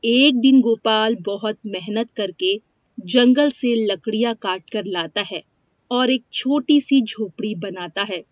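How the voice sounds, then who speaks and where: A woman's voice: on the high side, slow pace, no echo. A woman reading a scripted moral story as its narrator. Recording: dry, dull lo-fi studio sound.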